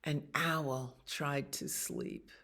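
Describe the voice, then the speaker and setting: A woman with a low voice reads children's bedtime stories at a very slow, unhurried pace. Her pitch moves in lively swings as she tells the tale, and she drops into casual asides about the pictures. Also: vocal fry. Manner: expressive reading with acted animal sounds and pauses to comment on pictures. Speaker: children's storytime reader at a library.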